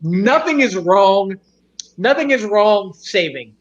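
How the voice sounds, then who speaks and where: A man hosting a casual podcast, talking in an emotional, halting way. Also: high-pitched, lively intonation. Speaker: podcast host. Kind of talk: casual chat. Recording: dull lo-fi sound.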